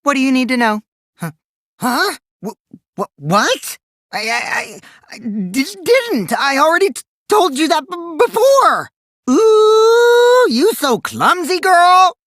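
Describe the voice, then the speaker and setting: A man's voice: extremely high pitch, very animated, slow pace, a bit bright, no room sound, clean studio sound, exaggerated voice. An actor playing a mischievous teenage boy in an anime game dub, a gleeful liar who teases and taunts theatrically, shifting between singsong mockery and sly menace. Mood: flustered, stammering, then teasing.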